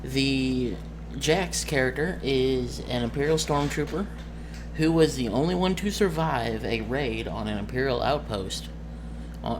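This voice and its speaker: A man talking casually and off the cuff as a game master, rambling and often searching for words. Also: medium pitch. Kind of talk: casual off-the-cuff vlog talk. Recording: noisy room ambience, amateur recording.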